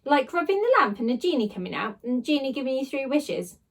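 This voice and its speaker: Storybook young boy, read by a woman: earnest, excitable, high and animated. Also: quick pace, full character voice. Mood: excited. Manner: earnest, hesitant, exclamatory.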